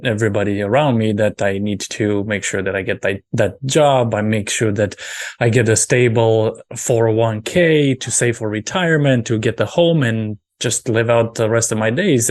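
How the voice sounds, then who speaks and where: Man telling his story. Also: on the low side. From Romania. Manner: earnest, restarts phrases often. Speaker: guest. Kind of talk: casual interview talk.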